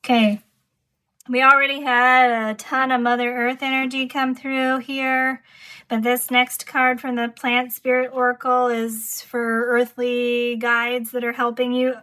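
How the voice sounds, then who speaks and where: Soft-spoken, slightly hoarse tarot reader woman. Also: fairly high pitch, a little slow. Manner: calm, soft-spoken, reflective. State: slightly hoarse, losing her voice. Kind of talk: intuitive pick-a-card tarot reading.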